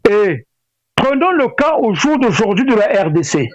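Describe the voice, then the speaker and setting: A middle-aged man with a Congolese French accent, speaking as an interview guest in a halting way with repeated words. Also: high-pitched, very animated, no echo. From Brazzaville, Republic of the Congo. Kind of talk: spoken interview, opinionated discussion. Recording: dull lo-fi radio sound.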